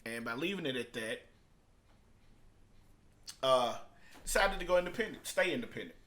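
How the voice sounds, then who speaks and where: Halting, emphatic man vlogging casually. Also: medium pitch, lively intonation, bright, forceful tone. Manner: halting, repetitive, emphatic, with swings between subdued and hyped delivery. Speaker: music producer and entrepreneur hosting a video book review. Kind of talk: casual unscripted vlog monologue.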